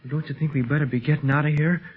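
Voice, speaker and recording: A man plays an eager fifteen-year-old American boy from a 1930s adventure serial. The voice sits on the high side and is full of boyish, earnest pluck. It comes through muffled, as on an old radio recording. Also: fairly even intonation, rapid-fire, faint music behind, close to an everyday voice. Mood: eager, curious.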